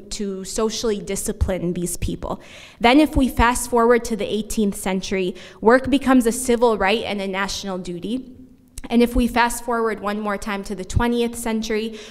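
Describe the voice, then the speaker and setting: A young woman presents an academic paper at a podium microphone. Her delivery is measured and steady, with a few small restarts as she reads from her notes, and her voice sits at an ordinary middle pitch. A long reverb from a large conference hall trails behind her words.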